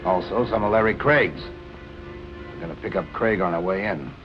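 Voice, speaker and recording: A man's voice: deep voice, normal speed, muffled recording, close to an everyday voice. A man playing a shrewd, matter-of-fact police captain in a 1940s suspense film. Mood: firm, dry. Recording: 1940s film soundtrack, loud music behind.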